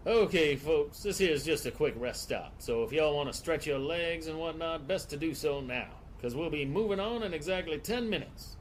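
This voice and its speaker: An actor playing a surly, bigoted 1940s Southern bus driver in an audio drama, with a gruff, folksy drawl. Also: male voice, fairly high pitch, normal speed, hardly any pauses, a little hiss or hum, natural voice. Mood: folksy, announcing.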